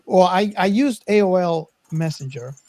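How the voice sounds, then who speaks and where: A man's voice: high-pitched, lively intonation, moderate pace, a little mellow, no room sound. A middle-aged man in casual podcast conversation, explaining things conversationally and voicing other people's reactions. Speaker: podcast co-host. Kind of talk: casual chat, reminiscing.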